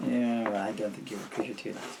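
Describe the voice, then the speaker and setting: A man's voice: on the low side, flat delivery, a little fast, darkish tone, poor audio. A man, a father reading a children's story aloud in a playful, jokey way with chatty asides, recorded with some noise. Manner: jokey, self-teasing. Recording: clear background noise.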